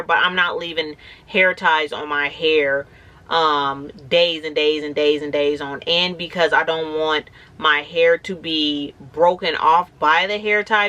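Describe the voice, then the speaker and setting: A woman in her thirties, a little raspy and hoarse from a cold and fresh braces, chatting casually in a rambling vlog. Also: low-pitched, sharp tone, dull audio. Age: 30s. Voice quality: slightly raspy. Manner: rambling, conversational, many "like"s. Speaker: natural hair vlogger. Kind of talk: casual talking-to-camera vlog.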